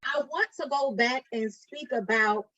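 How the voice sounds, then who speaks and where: Higher-voiced woman podcast host, dry sound. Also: unhurried, bright, forceful tone, no room sound. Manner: affirming, asks long layered questions. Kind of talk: casual interview. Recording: dry, dull lo-fi audio.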